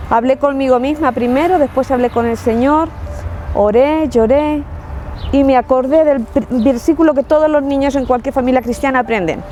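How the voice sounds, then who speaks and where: A woman's voice: on the high side, dark tone, slight background noise. A woman from La Mancha in Spain with a Spanish accent, telling her personal faith testimony in an earnest, reflective way with frequent restarts. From Spain, Ciudad Real. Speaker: testimony speaker.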